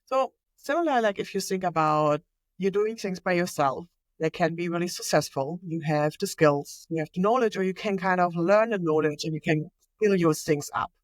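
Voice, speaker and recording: A woman's voice: lowish voice, normal speed, no echo. A woman with a German accent speaking non-native English, guest on an interview podcast, talking eagerly and fluently with small slips. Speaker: podcast guest, innovation manager. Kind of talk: conversational interview.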